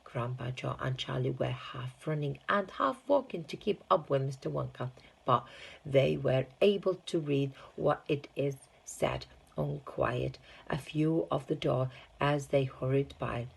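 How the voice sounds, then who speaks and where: Low, husky woman reading a storybook. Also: measured pace. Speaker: teaching assistant reading aloud. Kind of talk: children's storybook narration.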